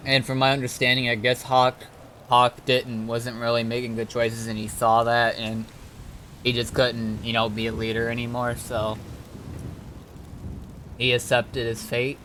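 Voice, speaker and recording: A man's voice: medium pitch, completely flat delivery, measured pace, a bit bright. Laid-back man streaming over noisy ambience. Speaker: game streamer. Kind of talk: casual livestream chat, recapping roleplay events.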